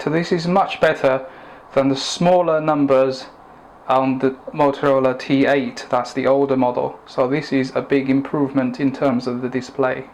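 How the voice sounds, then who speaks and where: A man giving a product review and demonstration in a measured, plain-spoken, instructive way. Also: mid-range voice, a little mellow.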